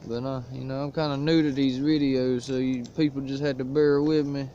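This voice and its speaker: A man's voice: mid-range voice, flat delivery, brisk, mellow. A man casually showing his everyday gear in a folksy, laid-back drawl, filmed outdoors in the woods on a propped-up cell phone. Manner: laid-back rural drawl, folksy, coughs now and then. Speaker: outdoors vlogger. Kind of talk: casual show-and-tell vlog. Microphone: cell phone propped on a stick. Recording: dull lo-fi phone audio, faint hiss.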